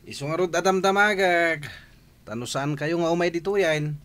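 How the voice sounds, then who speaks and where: Man playing a high-pitched brash, defiant son. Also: moderate pace, fairly bright tone, close to an everyday voice. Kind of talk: radio drama acting.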